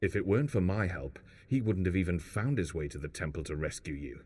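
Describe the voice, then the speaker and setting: A man plays a scornful travelling companion in an audio drama. His voice is very low, and he needles with sarcastic, accusing lines. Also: a little fast, a little mellow, breathy edge. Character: Hadwin, a scornful, nagging male travelling companion.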